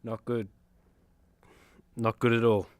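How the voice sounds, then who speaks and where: An earnest, conversational superhero, played by a man in a comedy radio play. His voice is medium in pitch, with very little room sound around it. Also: level tone, takes it slowly, no room sound, natural voice. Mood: dismayed. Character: earnest male superhero, self-promoting team member.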